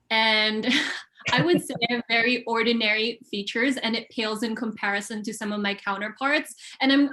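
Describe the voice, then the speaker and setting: A young woman from the Philippines, a pageant contestant answering interview questions over a video call in an articulate, measured way, thinking aloud with small fillers. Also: fairly high pitch, a bit bright. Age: young adult.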